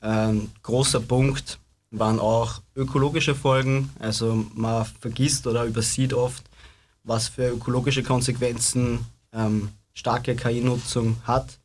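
A man's voice: medium pitch, measured pace. A young man giving a reflective contribution to a discussion with frequent hesitations. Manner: even, reflective, many fillers.

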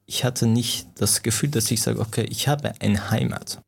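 A young man from Afghanistan who grew up in Iran, a native Farsi speaker, answering interview questions in halting speech with many restarts. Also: lowish voice, gravelly, slightly rough. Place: Austria.

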